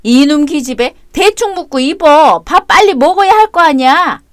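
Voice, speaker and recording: A woman's voice: high voice, animated, moderate pace, no room sound, clean studio sound. A woman voicing a stern old grandmother who scolds in a coarse, nagging way. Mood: scolding.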